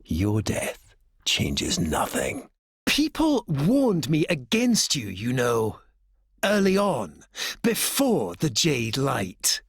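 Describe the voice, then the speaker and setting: Game villain: cold, possessive, grandiose; very slow, dramatic delivery with huge swings and a gravelly edge. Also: male voice, mid-range voice, wildly swooping pitch, dry recording, studio quality, exaggerated voice. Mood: cold disdain. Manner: theatrical, menacing, drawn-out phrasing that slips into breathy whispers. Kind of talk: dramatic video game voice acting.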